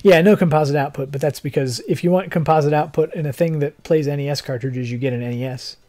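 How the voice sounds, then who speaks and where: A young man with a fairly high-pitched, mellow voice that sounds a little hoarse. He talks like a game streamer chatting to viewers, easygoing and rambling, with a technical bent. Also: quick pace. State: slightly hoarse. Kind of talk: casual livestream chat. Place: living room, seated in armchair indoors.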